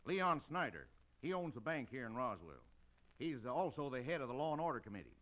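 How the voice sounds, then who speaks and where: A man's voice: medium pitch, very animated, a little fast, fairly bright tone. An actor playing a stubborn, hot-tempered middle-aged marshal and troubled father with an American Western accent and folksy, blustering talk, in an old radio Western. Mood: subdued, troubled. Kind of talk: old-time Western radio drama acting.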